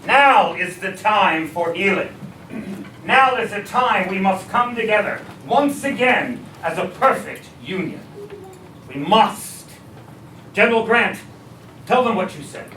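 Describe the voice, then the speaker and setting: Guy doing a earnest statesman speech, high-ish voice, stage reading, far from the mic. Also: lively intonation, takes it slowly, pushed and bright, heavily acted. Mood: earnest, commanding. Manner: solemn, emphatic. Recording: echoey distant live recording, faint room noise.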